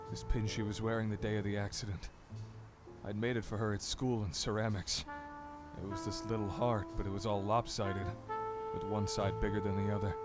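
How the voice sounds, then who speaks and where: A man playing an earnest, persuasive mayoral candidate in an audio drama, speaking with sincere, measured polish. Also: fairly low pitch, natural voice.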